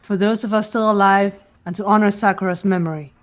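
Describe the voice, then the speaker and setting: A woman voicing a calm, serious, level-headed young investigator in a fan-voiced visual novel game. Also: medium pitch, fairly quick, faint music behind, lightly acted.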